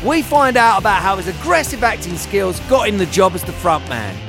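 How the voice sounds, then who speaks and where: A man's voice: high-pitched, sing-song, a little fast, music in the background. A man hosting a podcast in a jokey, matey and enthusiastic way, with casual swearing. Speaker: podcast host. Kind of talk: upbeat podcast presenting and casual chat.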